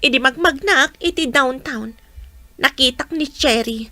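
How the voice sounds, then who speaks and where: An earnest young student daughter with an Ilocano accent. She speaks high and bright in a close, dry radio drama recording. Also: unhurried, dry recording, exaggerated voice. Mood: explaining.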